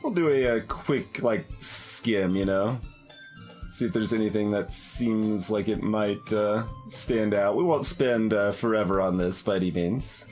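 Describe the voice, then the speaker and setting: A man's voice: on the low side, a little slow, a little mellow. A man giving casual live commentary as he plays a game, hesitantly thinking aloud. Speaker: streamer playing a game. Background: game music.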